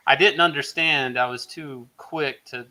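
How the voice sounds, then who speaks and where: A man chatting casually as a podcast guest, telling stories in an animated, repetitive way. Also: medium pitch, measured pace. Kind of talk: casual chat.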